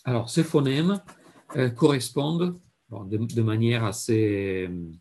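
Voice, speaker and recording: A man with an Italian accent lecturing in French, halting with many fillers and repeated words, and often clearing his throat. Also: mid-range voice, dark tone. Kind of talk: university lecture.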